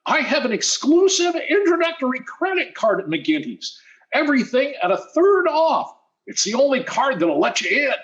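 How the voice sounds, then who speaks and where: A man's voice: very high-pitched, sing-song, moderate pace, fairly bright tone, dull audio, heavily acted. A man playing a wheedling teenage schoolboy in old-time radio sitcom style, stammering and mock-modest. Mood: scheming. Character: wheedling teenage schoolboy, eager, guileless-sounding schemer.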